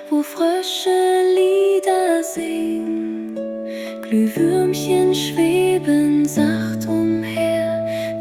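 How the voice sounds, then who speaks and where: Female lullaby singer, extremely slow phrasing, loud musical backing, for a children's bedtime song. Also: extremely high pitch.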